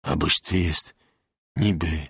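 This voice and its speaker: A man plays a dull-witted peasant war veteran in an audiobook story. The voice is low and creaky, with slow, fumbling, rustic speech. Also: animated, a bit bright, no echo, studio quality, heavily acted. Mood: grateful, fumbling. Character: Bartek, dim, bug-eyed peasant husband, war veteran. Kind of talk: literary audiobook character voice.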